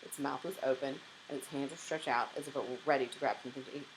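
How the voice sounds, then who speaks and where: A woman's voice: very deep voice, fairly even intonation, fast, background noise. A woman narrating a children's storybook aloud expressively, like a teacher reading to young pupils.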